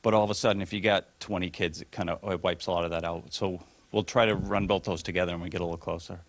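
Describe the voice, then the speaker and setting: Halting, earnest man, low-pitched. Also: fairly even intonation. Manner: earnest, halting, with many restarts. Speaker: property developer presenting to a town board. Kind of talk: public meeting remarks. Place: town meeting room.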